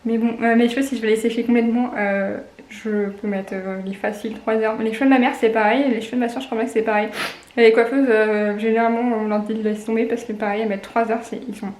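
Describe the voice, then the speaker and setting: A woman's voice: a little high, little pitch movement, fast talker, a little mellow, echoey room. A young woman with a cold and a stuffy nose, chatting casually and rambling through a daily vlog at home. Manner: chatty, rambling, many fillers and self-corrections. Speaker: vlogger.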